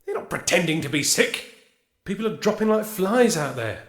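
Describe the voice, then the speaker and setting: A man playing a poor, blunt male serf who talks rough and cheeky, with a British accent. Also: sits high, expressive, normal speed, a bit bright, roomy sound.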